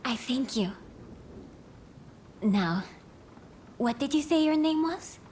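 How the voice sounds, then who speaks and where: An actress playing a composed, gracious young noblewoman in a game cutscene, speaking formally and softly in measured phrases. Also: high voice, animated, slight background noise. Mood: gracious, amused. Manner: formal, measured, soft-spoken. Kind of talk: dramatic video game cutscene acting.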